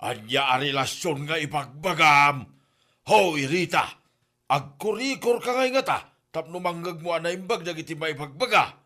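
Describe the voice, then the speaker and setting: A man's voice: on the high side, normal speed, sharp tone. A man with an Ilocano accent playing an exasperated, blunt husband who scolds emphatically in a comedy drama.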